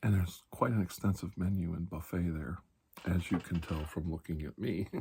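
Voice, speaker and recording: A man playing a calm, reassuring doctor in an ASMR roleplay, speaking softly and slowly with pauses, often near a whisper, in a breathy, husky voice. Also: low voice, slight background noise. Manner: slow, calming, deliberate with long pauses. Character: calm, reassuring older military doctor treating alien infection.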